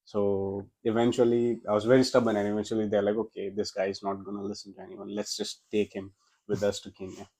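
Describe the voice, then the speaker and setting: A man's voice: fairly low pitch, level tone, fast talker, dark tone, rough recording. A young man from India with an Indian accent, a Gujarati speaker, telling his story as a guest in a casual interview, often restarting his sentences. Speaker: podcast guest.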